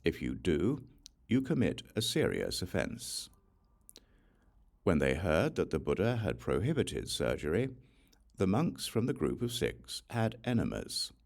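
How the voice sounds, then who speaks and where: Male narrator for religious audiobook; gravelly, lively intonation, deliberate scripted delivery. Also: deep voice. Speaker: audiobook reader.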